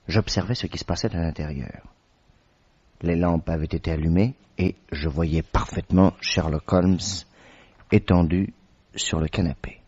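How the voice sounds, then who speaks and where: A low, husky radio-drama storyteller. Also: male voice, darkish tone, no echo, exaggerated voice. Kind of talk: French radio drama narration and acting. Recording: dull old radio recording, crowd murmur behind.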